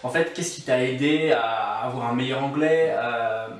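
A man's voice: medium pitch, measured pace, a little hiss or hum. 30s guy hosting a chat, lots of "super", far mic, echoey. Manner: encouraging, frequent short affirmations. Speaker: host, English teacher. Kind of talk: casual interview. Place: living room, on a couch. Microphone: far camera mic. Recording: echoey room, from a distance.

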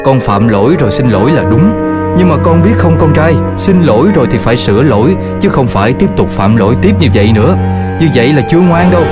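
A man playing a patient, gently lecturing father dog in a children's cartoon, with a measured, instructive delivery. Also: medium pitch, expressive, lightly acted. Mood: gently admonishing. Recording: loud music behind the voice.

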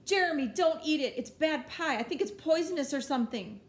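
Storybook role: a woman playing an earnest young boy, emphatic and pleading, raised pitch, echoey room. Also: lively intonation, brisk. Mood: alarmed. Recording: roomy echo, background noise.

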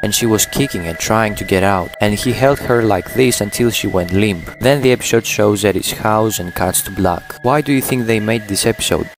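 A man's voice: lowish voice, normal speed, gravelly, few pauses, over music, rough recording. A man in his twenties narrating scripted creepy horror stories. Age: 20s.

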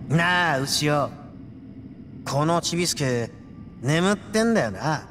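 A man playing a brash but soft-hearted young gang boss in an anime game, with cocky, slangy, rough speech. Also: on the high side, expressive, slow pace, long reverb tail, over music. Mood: concerned. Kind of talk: Japanese anime game dialogue.

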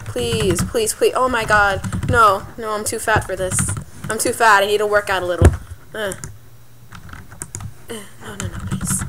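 Excitable woman gamer, clicky keyboard behind. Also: medium pitch, normal speed, long pauses, noisy background. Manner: excitable, yelps and pleads mid-game. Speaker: gaming channel host. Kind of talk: live gameplay commentary. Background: keyboard and mouse clicks.

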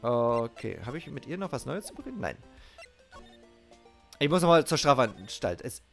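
A man's voice: medium pitch, very animated, normal speed, vocal fry, fairly bright tone. A middle-aged man doing let's play commentary and reading game dialogue aloud. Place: indoors at a streaming setup. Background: game music.

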